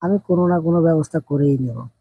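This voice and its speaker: A man's voice: a little high, fast talker, warm tone, a little hiss or hum, lightly acted. A man voicing a poor, kind-hearted, hardworking village farmer in an earnest, plain-spoken way for an amateur cartoon story. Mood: resolute, generous.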